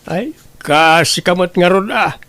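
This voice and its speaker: A man's voice: high voice, lively intonation, unhurried, bright, forceful tone, no echo, full character voice. A man playing a bitter, blaming grown son in an audio drama, complaining in an accusing way with an Ilocano accent.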